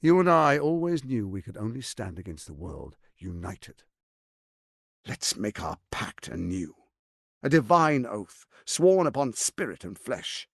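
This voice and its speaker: A man's voice: on the low side, sing-song, unhurried, gravelly, dry recording, clean studio sound, heavily acted. A man voicing a suave, scheming, arrogant archduke in a fantasy video game, silky, grandiose and condescending in his theatrical delivery.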